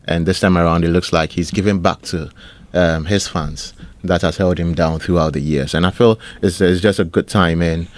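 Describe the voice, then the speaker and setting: A man, co-hosting a radio show in casual banter, hesitant with many pauses. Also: low voice, fairly even intonation. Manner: hesitant, many ums, rises sharply when teased. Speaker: radio co-host.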